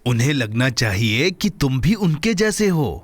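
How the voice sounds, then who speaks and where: A man voicing a kindly, encouraging companion to a young boy in a children's cartoon dub, reassuring and expressive. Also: medium pitch, moderate pace, no room sound.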